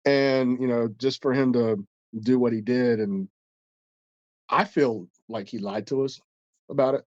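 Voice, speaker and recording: A man's voice: medium pitch, fairly even intonation, a little slow, no room sound, highs cut off. A man co-hosting a casual podcast, stuffed up and congested, telling a long story in a rambling, profane way with many restarts. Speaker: podcast co-host.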